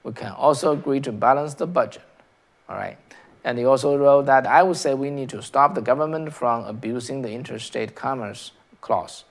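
Gravelly man with a Chinese accent. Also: medium pitch. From China. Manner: halting, frequent restarts and rhetorical questions. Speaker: political commentary host. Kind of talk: live-stream commentary reading viewer comments.

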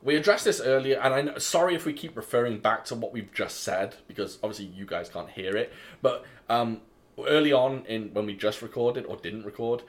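A man hosting a casual film review podcast, animated and quick to laugh. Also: mid-range voice. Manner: animated, laughing, jumps in often. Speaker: podcast host.

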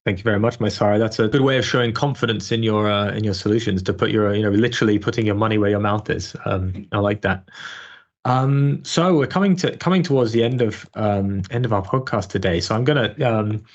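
Low-voiced polite podcast host. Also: male voice, brisk, dull audio. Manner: polite, hands questions over with fillers. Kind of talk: moderating a panel podcast.

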